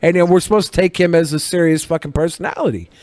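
A man's voice: fairly high pitch, steady tone, very fast, a little mellow. A man co-hosting a podcast, giving opinionated casual commentary in a profane, emphatic way. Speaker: podcast co-host.

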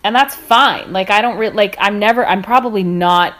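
A woman's voice: mid-range voice, normal speed, fairly bright tone. A woman author, a guest in an interview, speaking with dry, self-deprecating humor and hesitant restarts. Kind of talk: interview conversation.

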